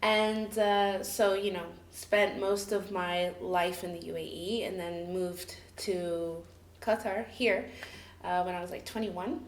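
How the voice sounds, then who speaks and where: A woman's voice: fairly low pitch, steady tone, slow, a little hiss or hum. Chatty woman in an echoey room. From Cameroon, raised in the UAE. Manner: chatty, laughs often, many fillers like "like" and "you know". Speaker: interview guest. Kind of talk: casual personal storytelling.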